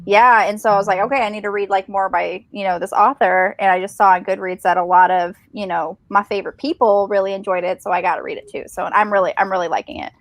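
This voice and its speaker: A woman's voice: medium pitch, steady tone, fast talker, hardly any pauses, no echo. A woman chatting casually from home on a group livestream. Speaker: book reviewer in a livestream readalong. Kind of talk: casual chat. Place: at home on a group video call.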